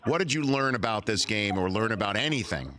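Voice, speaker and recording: A fairly low-voiced man hosts a sports radio interview. He sets up his questions eagerly and repeats words as he gets going. A faint hiss sits behind him. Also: lively intonation, brisk, pushed and bright. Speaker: sports talk show host.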